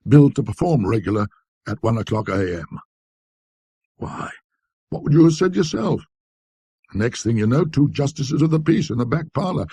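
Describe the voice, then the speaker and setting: A man voicing a rattled, talkative antique dealer who blusters and justifies himself in colloquial rambles, in a husky voice. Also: medium pitch, mellow, dry recording, pitched above the performer's own voice.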